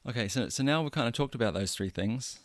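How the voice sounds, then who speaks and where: A man in his thirties from New Zealand with a New Zealand accent, hosting a solo podcast in an earnest, self-deprecating way with halting restarts. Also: mid-range voice, expressive, no room sound, clean studio sound. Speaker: podcast host.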